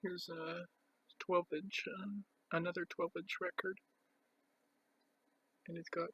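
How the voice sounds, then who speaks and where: A man giving a casual show-and-tell as a collector, speaking in a halting, piecemeal way with pauses. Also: high-pitched, little pitch movement, fairly bright tone, breathy edge, no echo, low-quality recording. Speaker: record collector presenting his collection.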